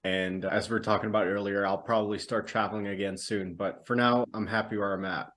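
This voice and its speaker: A man's voice: on the low side, fairly even intonation, fast talker, fairly bright tone. A man from the Netherlands, a native Dutch speaker, giving reflective, explanatory answers as a podcast guest in conversation.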